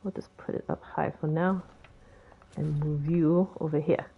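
A woman with a Boston accent talks through a game build in a loose, rambling way, chatting to the objects on screen. Her voice sits fairly low. The recording sounds a little dull and lo-fi. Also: fairly even intonation, a little slow, slightly rough. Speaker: game video creator. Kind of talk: casual gameplay tutorial commentary.

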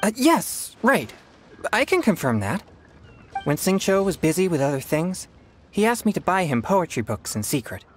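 A woman's voice: fairly low pitch, big pitch swings, moderate pace, a little hiss or hum. A woman voicing an eager, cheerful young girl in a video game. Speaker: game character.

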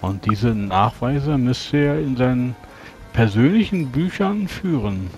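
A man speaking in character in a tabletop role-playing game, arguing in a deliberate, formal way. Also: mid-range voice, lively intonation, a little mellow, soft background music. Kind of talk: in-character improvised game dialogue.

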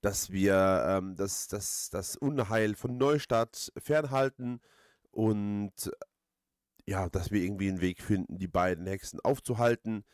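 A man hosts a video game playthrough in a fairly low voice. He comments casually, circling back over phrases with hesitant rambling. The sound is dry, without room echo. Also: measured pace. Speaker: let's play host.

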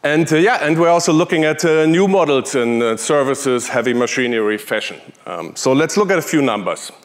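A man's voice: on the high side, expressive, brisk. A man in his forties with a German accent, giving a conference keynote on a large hall stage through a headset mic, chatty with many fillers and restarts. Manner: conversational, with frequent fillers and restarts. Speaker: conference speaker, tech executive.

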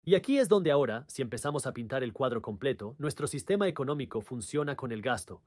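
A man's voice: mid-range voice, expressive, fast, a little mellow, no echo. A man presenting a scripted explainer in the manner of a dubbed video host.

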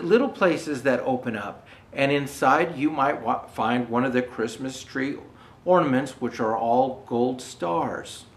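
Casting: older man as children's storyteller, very lively intonation, slightly roomy echo. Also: mid-range voice. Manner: gentle explanatory asides to young viewers. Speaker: storyteller dressed as Santa Claus. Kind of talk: reading a children's picture book aloud. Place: indoor room with Christmas decor.

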